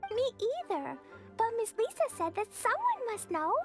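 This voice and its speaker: Very high-pitched, innocent little girl. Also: wildly swooping pitch, normal speed, heavily acted. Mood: earnest. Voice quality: small, childlike. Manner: childlike, speaks of self by name. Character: little girl knight, innocent, earnest and eager. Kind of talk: anime game voice acting. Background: children's game music.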